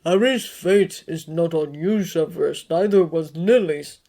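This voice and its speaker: A woman voicing a calm, grave old male headmaster who speaks in a measured, gently firm way. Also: fairly low pitch, lightly acted.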